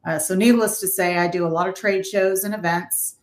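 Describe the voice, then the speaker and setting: A woman's voice: lowish voice, steady tone, a little fast, echoey room. A woman in her fifties with a Texan accent, a sales rep introducing herself on a webinar with a joking, self-deprecating manner. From Texas. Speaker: sales representative. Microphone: video call.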